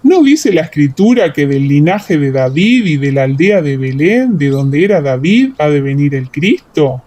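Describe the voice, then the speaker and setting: A woman's voice: low voice, big pitch swings, moderate pace, dark tone, voice pushed down. A woman voicing a skeptical, accusing man of an ancient crowd in a Bible radio play, with questioning, theatrical delivery. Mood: puzzled, doubting.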